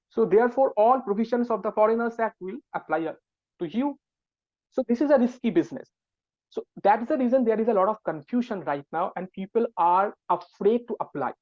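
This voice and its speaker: A man's voice: high-pitched, no room sound. Man explaining methodically over muffled call. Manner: methodical, explains step by step with many hesitations. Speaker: academic researcher and panelist. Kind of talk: explanatory webinar talk. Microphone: video call. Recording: dull, dry video call audio.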